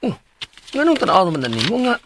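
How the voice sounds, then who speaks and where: Young man, Cebuano accent, animated pitch, bright tone, easygoing radio drama groom. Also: fast, slight background noise, exaggerated voice. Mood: casual. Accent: Filipino, Cebuano. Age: young adult.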